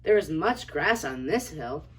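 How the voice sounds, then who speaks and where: A woman's voice: low voice, very animated, unhurried. A woman playing a kindly, wondering very old man in a storybook reading. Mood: helpful. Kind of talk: reader's theater character acting. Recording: distant from the mic.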